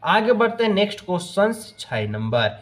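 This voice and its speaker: Guy with a slightly high voice walking through mcq answers, says ok a lot. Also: big pitch swings, normal speed. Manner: mixes English phrases, repeats "ok", thinks aloud through options. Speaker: teacher solving exam questions. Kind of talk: explaining multiple-choice answers.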